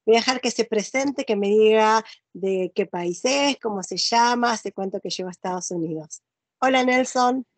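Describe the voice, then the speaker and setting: A woman's voice: medium pitch, normal speed, no echo. A middle-aged woman with an Argentine accent, hosting an interview in a casual, rambling, chatty way. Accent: Argentine Spanish. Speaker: interviewer and host.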